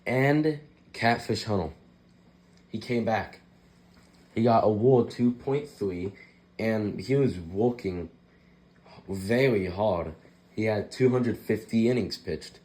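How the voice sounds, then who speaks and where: Sick, raspy-voiced man, slow and mellow. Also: on the low side, poor audio. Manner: loose and rambling, self-correcting. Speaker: baseball history vlogger. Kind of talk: casual narration over game footage.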